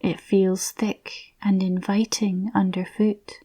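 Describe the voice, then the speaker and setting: A woman softly narrating a scripted guided visualisation in a soothing, breathy, near-whispered voice. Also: mid-range voice, level tone, takes it slowly.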